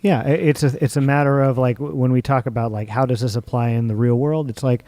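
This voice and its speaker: A man's voice: mid-range voice, fairly even intonation, fast talker, dark tone, dry recording. A man hosting an onstage interview, asking probing questions and often restarting his words. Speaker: interview host. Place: theater stage.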